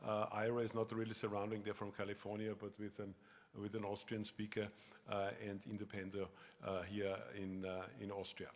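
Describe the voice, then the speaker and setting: Male conference moderator, lowish flat voice, frequent "uh"s, reverberant hall. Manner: halting, many fillers. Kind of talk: conference presentation.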